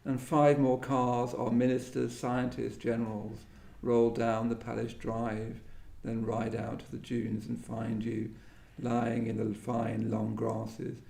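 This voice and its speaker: A man interviewing a poet, musing appreciatively about poetry in a halting, reflective way. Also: medium pitch, completely flat delivery, vocal fry, warm tone. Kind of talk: reflective literary conversation. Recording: roomy live event sound.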